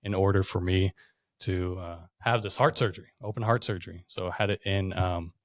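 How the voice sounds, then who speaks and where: A man's voice: sits low, steady tone, a little fast, dry recording, clean studio sound. A young man from Russia, a podcast guest, telling his story in a chatty way with lots of "like" and "you know.". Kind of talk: casual storytelling interview.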